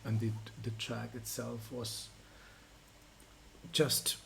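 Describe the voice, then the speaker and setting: A native Urdu-speaking man co-hosting a song reaction, talking in a reflective, halting way and often repeating words. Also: medium pitch, flat delivery, gravelly, fairly bright tone, a little hiss or hum. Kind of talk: casual reaction chat.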